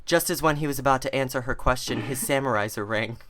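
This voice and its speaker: Mid-pitched, theatrical reader of fan fiction. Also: fast, a bit bright, slight background noise. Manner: theatrical, stumbles over words. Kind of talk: mock-serious dramatic reading of fan fiction.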